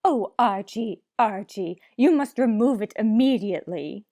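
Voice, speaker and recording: An actress playing a haughty, fussy society matron with affected, melodramatic upper-class diction in old-time radio comedy. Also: female voice, medium pitch, animated, unhurried, dark tone, no room sound, studio quality. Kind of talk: 1940s radio comedy acting.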